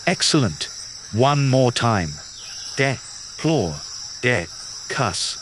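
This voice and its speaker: A man reading a children's nonfiction picture book aloud in a clear, patient, teacherly way. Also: mid-range voice, big pitch swings, very slow, fairly bright tone, slight background noise. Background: background music.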